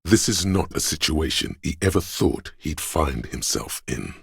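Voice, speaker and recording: A man playing a solemn inner voice that narrates a police video game in a measured, literary way, deep and gravelly. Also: expressive, no echo, studio quality, heavily acted. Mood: grave, watchful. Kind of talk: literary second-person scripted narration.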